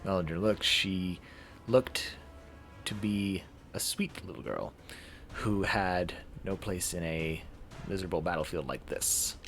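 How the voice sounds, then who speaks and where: A man streaming a visual novel playthrough, reading it aloud in a halting, dramatic way and correcting himself. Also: low-pitched, fairly bright tone, soft background music.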